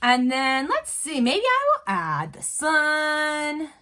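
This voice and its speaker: A woman teaches young children to draw, one step at a time. Her voice is bright and swings widely in pitch. She takes it slowly, using simple and encouraging phrases as an elementary art teacher would. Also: huge pitch swings.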